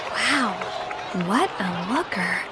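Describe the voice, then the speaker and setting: A woman's voice: mid-range voice, animated, slow, over a noisy crowd, very noisy. An actress playing a curious, easily impressed young female bystander in a video game. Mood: awed.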